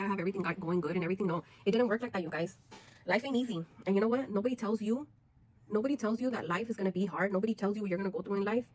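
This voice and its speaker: A woman's voice: medium pitch, poor audio. A woman, talking frankly and bluntly to her viewers in a personal vlog, swearing freely. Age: 40s. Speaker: vlogger.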